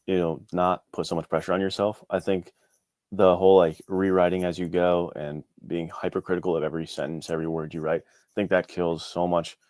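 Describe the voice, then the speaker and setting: A man's voice: deep voice, little pitch movement, fairly quick, darkish tone, dry recording, clean studio sound. A man chatting casually as a podcast guest, informal and slangy, a little self-deprecating. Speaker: guest, indie adventure author. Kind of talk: casual chat.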